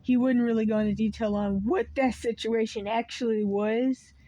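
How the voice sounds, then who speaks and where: A woman's voice: fairly high pitch, steady tone, moderate pace, mellow, no room sound. A woman talking straight to camera in a meandering, unscripted monologue, often losing her train of thought and starting over, her voice cracking. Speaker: video creator.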